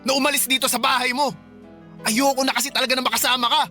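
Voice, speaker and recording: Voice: high voice, flat delivery, pushed and bright, soft background music. An actor playing a defiant young brother. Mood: heated. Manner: defiant, sulky, retorts quickly. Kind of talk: radio drama acting.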